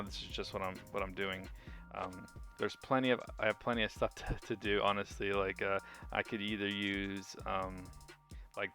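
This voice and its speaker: A man's voice: fairly low pitch, bright, forceful tone. A man giving casual gameplay commentary as a video host, informal and rambling as he thinks aloud. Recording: music behind the voice. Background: music.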